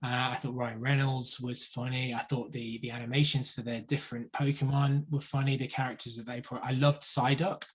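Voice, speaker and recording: A man's voice: medium pitch, level tone, a little fast, few pauses, breathy edge. A man on a podcast panel, talking casually and conversationally about films. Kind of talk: casual film discussion.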